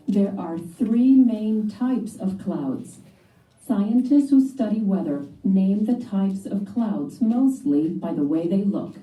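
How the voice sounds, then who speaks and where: A woman reads a scripted children's science text in a very soft-edged, dark voice. Her pace is slow and measured. She sounds slightly far off, in an echoey room. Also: medium pitch. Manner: measured, clearly scripted explaining. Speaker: narrator. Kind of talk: scripted educational read-aloud for children.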